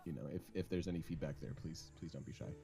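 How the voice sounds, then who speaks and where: A man guesting on a livestream, calm and soft-spoken, telling a personal story with many pauses, ums and restarts. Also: very deep voice, gravelly, music in the background. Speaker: interview guest. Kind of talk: hesitant personal account on a livestream.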